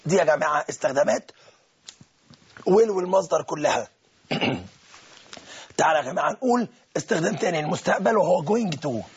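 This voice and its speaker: A man with an Egyptian Arabic accent, teaching English grammar step by step. He translates each phrase and often clears his throat. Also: fairly high pitch, slight background noise. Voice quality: husky, gravelly voice with frequent throat-clearing. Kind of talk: classroom grammar explanation.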